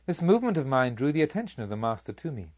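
A man narrating a literary story as an audiobook, in a formal, deliberate storytelling manner. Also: medium pitch, sing-song, a little mellow, dry recording, dull audio. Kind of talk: expressive scripted literary narration.

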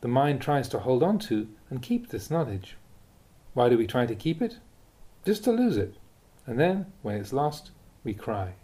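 A man reading Buddhist teachings aloud in a measured, deliberate way with emphatic pauses. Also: mid-range voice, big pitch swings, warm tone. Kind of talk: read-aloud spiritual teaching.

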